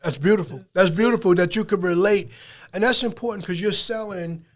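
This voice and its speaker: A man's voice: high-pitched, brisk. Upbeat, encouraging man interviewing. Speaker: podcast host. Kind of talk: interviewing guests.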